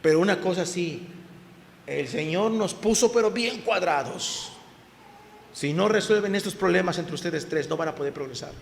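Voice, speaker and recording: High-voiced preaching man, echoey church. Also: moderate pace, creaky, slight background noise. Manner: preaching, asks the congregation questions, voice rises in emphasis. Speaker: pastor. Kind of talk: sermon. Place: church hall. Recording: echoey church hall, faint ambience.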